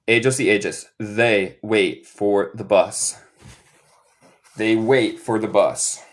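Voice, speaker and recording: Slow-speaking American man teaching English. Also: lowish voice, steady tone. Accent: American, Midwestern. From northern United States. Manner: slow, repeats example sentences several times. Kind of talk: live online English lesson taught in Spanish.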